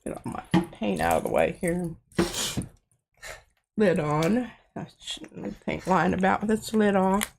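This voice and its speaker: A woman's voice: lowish voice, a little slow, creaky, pauses often, darkish tone, a little hiss or hum. A woman hosting a casual art demonstration, chatty and self-deprecating as she works, a bit stuffy-nosed. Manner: chatty, self-deprecating, talks while working. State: stuffy nose. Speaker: craft show host. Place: home art studio.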